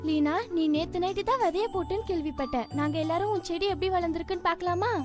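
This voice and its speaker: Very high schoolgirl cartoon voice, showy and competitive, music in the background. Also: fast talker. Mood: boastful. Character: boastful, competitive young schoolgirl. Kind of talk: Tamil-dubbed children's cartoon acting.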